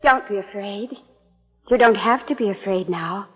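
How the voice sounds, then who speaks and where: An actress playing a young wife's cool, composed dream double in a 1950s radio suspense drama, speaking calmly and deliberately. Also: female voice, medium pitch, slightly rough. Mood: calm menace. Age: young adult. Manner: calm, deliberate, coolly menacing. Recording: dull echoey 1950s radio recording.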